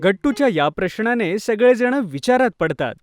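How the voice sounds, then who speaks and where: A man's voice: sits high, expressive, normal speed, faint music behind. A man reading scripted narration for a children's moral story.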